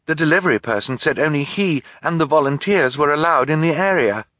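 A man's voice: fairly high pitch, expressive, a little fast, a bit bright, dry recording, clean studio sound, voice pushed up. A man voicing a resourceful, determined teenage girl. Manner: whispering.

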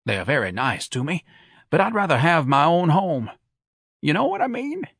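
Man doing a mild, earnest old man, raised pitch. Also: huge pitch swings, normal speed, vocal fry, fairly bright tone, breathy edge, no echo, clean studio sound, full character voice. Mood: wistful.

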